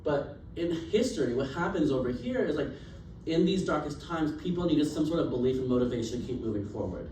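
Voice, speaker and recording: A man's voice: a little high, lively intonation, fairly quick, warm tone. A young man giving a casual class presentation, chatty, with lots of fillers and easy laughs. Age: young adult. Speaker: student presenter. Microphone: distant recording device. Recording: roomy, distant, noisy classroom.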